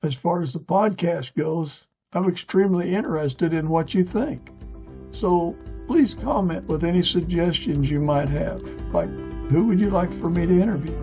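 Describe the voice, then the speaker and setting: A man's voice: fairly high pitch, normal speed, warm tone, dull audio, faint music behind. A man from the United States hosting a conversational interview podcast.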